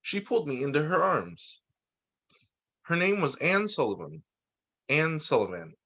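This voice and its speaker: A man's voice: fairly high pitch, unhurried. A man reading a picture book aloud to young students as their teacher, expressively and with small asides. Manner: animated, explains and checks in with young listeners.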